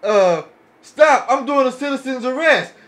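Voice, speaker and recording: A man's voice: very high voice, lively intonation, unhurried, fairly bright tone. A man in a casual podcast discussion, talking colloquially and often checking that he is understood. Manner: colloquial, frequent tag questions. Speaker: podcast panelist. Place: small home-style podcast studio. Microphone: podcast microphone on boom arm.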